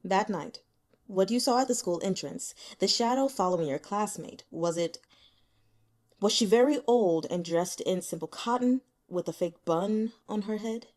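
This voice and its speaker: A woman reads the part of a stern, overworked male investigator in an audiobook. The delivery is measured and insistent, pressing steadily like an interrogation. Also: medium pitch, darkish tone, close to an everyday voice. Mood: probing.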